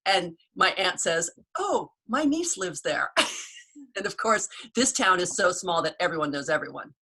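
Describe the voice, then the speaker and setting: An American woman hosts a relaxed video call with a bright, clear voice. She tells stories in a chatty way and laughs along. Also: fairly low pitch, unhurried. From United States. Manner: chatty storyteller, warm laughs. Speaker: host. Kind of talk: casual video call chat.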